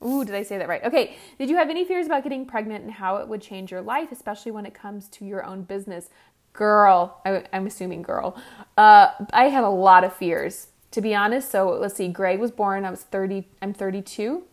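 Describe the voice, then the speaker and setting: Woman, 30s, podcast host, candid, chatty, self-deprecating, hesitant restarts, mid-range pitch. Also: brisk. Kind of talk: solo listener Q&A podcast.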